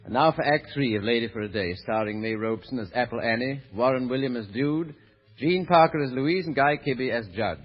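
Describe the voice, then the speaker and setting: A man's voice: medium pitch, fairly quick. A man announcing and narrating from a script in 1930s radio style. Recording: muffled old radio recording. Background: faint music.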